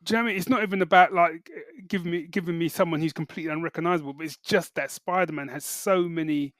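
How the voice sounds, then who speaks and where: A man with a slightly raised pitch talks as a podcast co-host. He gives his opinions in loose, colloquial language, full of conversational tags. The sound is dry, with very little room in it. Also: quick pace, a bit bright, dry recording. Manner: colloquial, opinionated, peppers talk with "you know" and "like". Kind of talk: casual chat review.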